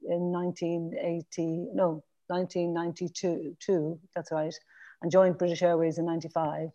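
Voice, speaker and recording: A woman's voice: fairly low pitch, level tone, moderate pace, warm tone, muffled recording. A woman in her fifties with a Dublin Irish accent, chatting as a podcast guest in a rambling way, with restarts and fillers. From Ireland. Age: 50s. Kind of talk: casual interview conversation.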